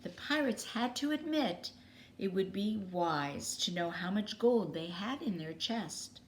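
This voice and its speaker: A woman reading a children's story aloud expressively, with dramatic pauses and emphasis. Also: mid-range voice, expressive, slight background noise.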